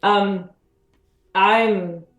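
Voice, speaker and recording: Halting woman in a roomy space. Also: medium pitch, steady tone. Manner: halting, repeats words as she thinks aloud. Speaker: podcast panelist and player. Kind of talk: casual chat about a tabletop game. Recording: roomy, echoing sound.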